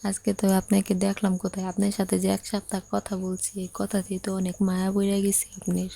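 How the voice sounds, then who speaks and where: A woman's voice: medium pitch, monotone, a little fast, creaky, mellow, a little hiss or hum, close to an everyday voice. A woman playing an upset, impatient young bride in an audio story, speaking plaintively and insistently in colloquial speech with a Bangladeshi accent. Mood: softly confiding.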